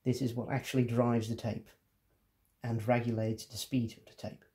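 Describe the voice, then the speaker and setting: Guy doing a casual how-to, mellow soft-edged tone, medium pitch, explains each step. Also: flat delivery, normal speed. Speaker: tutorial presenter. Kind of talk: casual hands-on demonstration.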